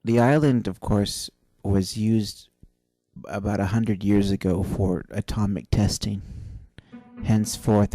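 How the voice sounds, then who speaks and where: A man playing a salty old sea captain, telling a rambling, halting seafaring tale with dramatic pauses in an old-time radio adventure style. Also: lowish voice, warm tone. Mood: musing, hesitant. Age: older. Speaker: storyteller. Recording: voice over easy listening music. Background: easy listening soundtrack.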